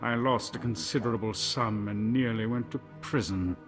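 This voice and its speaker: A man playing a smooth, menacing crime boss in a video game, polite and measured with veiled threat. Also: fairly low pitch, over music, close to an everyday voice. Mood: suave.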